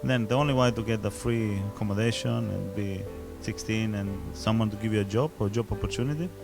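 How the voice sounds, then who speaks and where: A man from southern Italy with an Italian accent, a chef telling his story in a halting way, searching for words as he goes. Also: fairly low pitch, over music. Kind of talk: casual interview. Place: restaurant function room with music playing.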